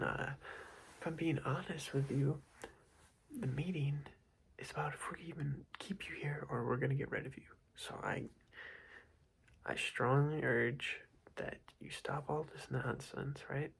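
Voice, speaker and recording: A man's voice: fairly high pitch, fairly even intonation, unhurried, creaky, slight background noise, rough recording. A young man whispering an ASMR roleplay as a boss, scolding in a casual, rambling way with many fillers. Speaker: ASMR creator playing a boss.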